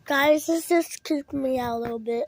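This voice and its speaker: High-voiced child giving casual instructions. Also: normal speed, slight background noise. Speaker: amateur video host. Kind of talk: casual chat to camera and companion.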